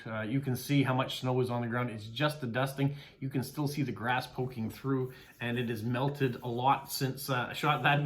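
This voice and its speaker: A man's voice: medium pitch, a bit bright. A middle-aged Canadian man, a mail carrier, chatting casually as he unboxes postcards, thanking each sender with many "uh" fillers.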